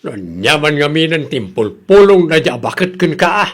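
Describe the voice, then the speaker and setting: A man's voice: a little high, sing-song, normal speed. A man with an Ilocano accent playing a stubborn, defiant father in a radio drama, arguing back in a hard, argumentative way. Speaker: father in a family quarrel.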